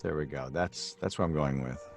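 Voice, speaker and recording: A man's voice: rumbling low, normal speed, creaky, faint music behind. A man chatting casually as a guest, with a dry, playful wit. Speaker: guest cast member. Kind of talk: casual chat.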